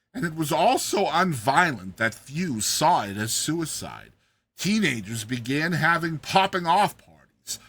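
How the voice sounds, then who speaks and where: A man reads a classic story aloud as a dramatic narrator. His voice sits a little high and swings widely in pitch, with a bright, forceful edge. The tone is rough rather than smooth, and the delivery is expressive and theatrical. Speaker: story narrator, show host. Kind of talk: dramatized audiobook reading of a classic satirical story.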